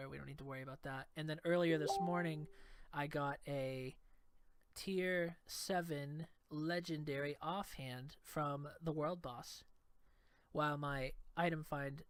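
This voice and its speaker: A man's voice: on the high side, steady tone, normal speed, clean tone, no echo. Stuffy-nosed young man narrating gameplay. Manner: laid-back, rambling, trails off quietly. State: stuffed up from a cold. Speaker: gameplay video creator. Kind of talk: casual gameplay commentary. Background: game music.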